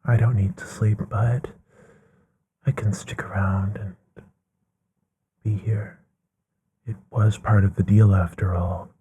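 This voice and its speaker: Breathy, low-voiced gentle vampire played by a man. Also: little pitch movement, full character voice. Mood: tender, grateful. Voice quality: breathy, half-whispered. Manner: hushed, musing, unhurried with trailing pauses. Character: gentle, bookish, long-lived male vampire companion. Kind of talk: soothing ASMR roleplay monologue.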